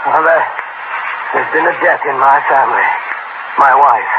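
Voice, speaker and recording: A man's voice: medium pitch, moderate pace, natural voice. A man acting a weary, unhinged and bereaved gunman in a 1940s radio drama, giving clipped orders. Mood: controlled. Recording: noisy, narrow-band old radio recording.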